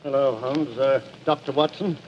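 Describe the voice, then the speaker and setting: An actor playing a stern, anguished army general, formal but halting and emotional, in 1940s radio style. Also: male voice, mid-range voice, fairly even intonation, lightly acted. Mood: troubled. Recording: dull, noisy 1940s radio recording.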